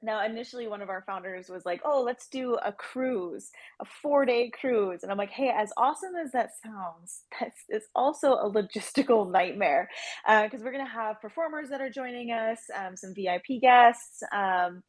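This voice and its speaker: A woman's voice: a little high, moderate pace, dry recording. Chatty woman explaining in an interview. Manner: chatty, explanatory, peppers speech with "like". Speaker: interview guest, project team member. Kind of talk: casual promotional interview talk.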